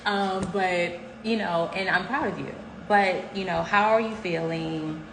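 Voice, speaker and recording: A woman's voice: lowish voice, a little slow, gravelly. A woman hosting a podcast, asking rambling questions full of fillers and teasing her husband. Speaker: podcast host, wife. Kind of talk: casual interview chat. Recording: echoey room with noticeable background noise.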